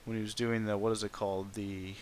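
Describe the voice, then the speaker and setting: A man's voice: fairly low pitch, little pitch movement, brisk, darkish tone, a little hiss or hum. A man giving rambling, informal playthrough commentary as a game streamer, with game music behind him.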